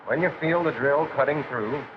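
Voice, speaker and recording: On a dull 1940s film soundtrack with faint noise, an actor plays a male American factory instructor. He has a medium-pitched voice and talks in a plain, practical way, laying things out step by step. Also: moderate pace, lightly acted. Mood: methodical. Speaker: shop floor instructor. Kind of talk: 1940s training film acting.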